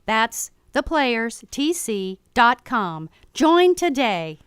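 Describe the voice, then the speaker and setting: Smooth, animated woman reading a radio promo. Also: a little high, extremely slow, no room sound, studio quality. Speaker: promo announcer. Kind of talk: scripted radio advertisement.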